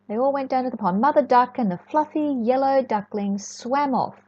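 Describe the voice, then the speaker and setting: A woman reading a storybook to young children in an expressive, sing-song way, often chatting and asking the listener questions. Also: on the high side, vocal fry, slightly rough. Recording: echoey room, dull lo-fi sound.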